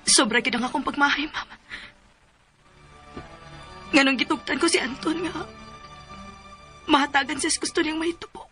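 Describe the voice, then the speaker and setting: A high, bright voice for a lovelorn young daughter in a radio melodrama, defiant and full of feeling. Faint music plays behind her. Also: moderate pace, pauses often. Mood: pained, defiant. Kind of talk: melodramatic radio drama acting.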